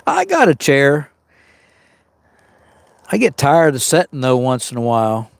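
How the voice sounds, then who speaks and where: A man's voice: medium pitch, wildly swooping pitch, unhurried, creaky, no room sound, studio quality. A man hosting a camping livestream outdoors in the cold woods, sniffling as he chats with viewers and greets them by name. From Arizona. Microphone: small mic worn on him.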